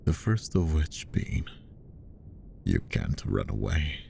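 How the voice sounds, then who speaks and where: A man playing a possessive, menacingly tender magician hypnotist in roleplay ASMR, speaking softly and coaxingly, often slipping into whispers. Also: very animated, takes it slowly, a little hiss or hum, exaggerated voice. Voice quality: soft, very deep, gravelly. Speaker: voice actor.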